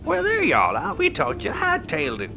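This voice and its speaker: Swooping, folksy Southern American dad. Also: male voice, high-pitched, wildly swooping pitch, moderate pace, creaky, over loud music, heavily acted. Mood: folksy, hospitable. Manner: drawling, folksy. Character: folksy, hospitable Southern American father and spaceship host. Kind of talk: sci-fi audio comedy acting.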